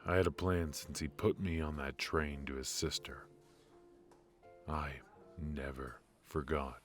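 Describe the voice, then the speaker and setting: Man playing a very deep, slow-talking mafia boss. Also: fairly even intonation, soft background music. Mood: resolute, reflective. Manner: measured, weary, confiding, with heavy pauses. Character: brooding, ruthless male mafia boss with a hidden purpose. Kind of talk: intimate ASMR roleplay, noir audio drama.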